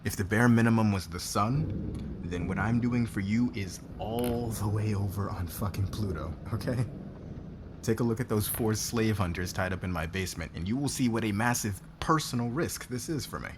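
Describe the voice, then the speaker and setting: A man's voice: on the low side, moderate pace, background noise, natural voice. A man playing a demanding, possessive boss in an ASMR roleplay, flirtatious yet guarded, with a commanding, intimate manner. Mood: smug, teasing. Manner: commanding, smug, intimate, swearing.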